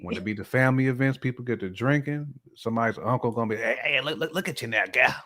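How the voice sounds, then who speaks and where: A man's voice: medium pitch, fast talker, pushed and bright. A man co-hosting a talk show, giving his opinions casually in colloquial, slangy speech. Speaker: podcast co-host giving a man's point of view.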